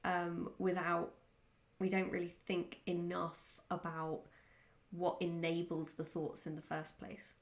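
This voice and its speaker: A woman chatting casually in a question-and-answer vlog, speaking in a candid, reflective and somewhat halting way. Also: on the low side, completely flat delivery. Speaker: writer answering follower questions.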